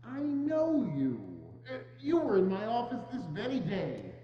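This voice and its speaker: A man's voice: extremely high pitch, big pitch swings, measured pace, music in the background, exaggerated voice. A man playing a grumpy, shaken old miser in a theatrical dramatic reading, hesitant and exclamatory. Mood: fearful, awed. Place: church, live staged reading. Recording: echoey church hall, dull sound, faint noise.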